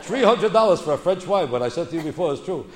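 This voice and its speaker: A man's voice: on the high side, animated, fast. An American man performing stand-up comedy in an indignant, emphatic ranting style, repeating phrases for punch. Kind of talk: stand-up comedy routine, ranting observational bits. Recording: echoey live room, clearly noisy recording.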